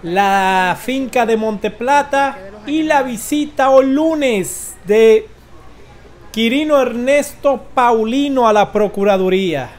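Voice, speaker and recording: A man in his forties with a Dominican accent gives informal news commentary as a host, halting and emphatic, with dramatic pauses. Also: very high-pitched. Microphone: close desk microphone.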